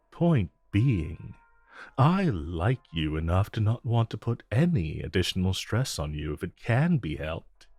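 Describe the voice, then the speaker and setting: Man playing a suave supervillain, flirty and teasing, lively swooping intonation, very dry close sound, romantic audio drama. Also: lowish voice, no room sound, full character voice. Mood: flirty, reassuring. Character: suave, flirtatious male supervillain visiting his tailor. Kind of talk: romantic audio roleplay acting addressed to the listener.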